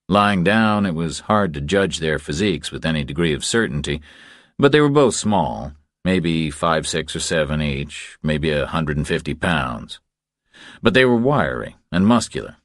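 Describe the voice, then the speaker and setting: A man's voice: low voice, no room sound, clean studio sound. A man reading a thriller novel as scripted audiobook narration.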